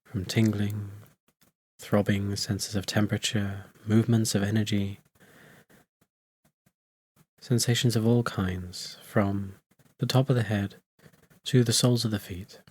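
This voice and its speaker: Low-voiced man guiding a meditation. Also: little pitch movement, darkish tone, slightly rough, no echo. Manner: soothing, unhurried phrases with long pauses. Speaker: meditation teacher. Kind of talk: guided meditation.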